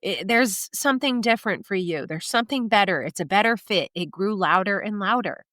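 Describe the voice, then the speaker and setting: A woman's voice: medium pitch, lively intonation, brisk, fairly bright tone, no echo. Woman host, stuffy and nasal from a cold, upbeat coaching delivery, dry close mic. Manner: motivational, emphatic with rhetorical questions. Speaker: podcast host and career coach. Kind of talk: scripted solo podcast monologue.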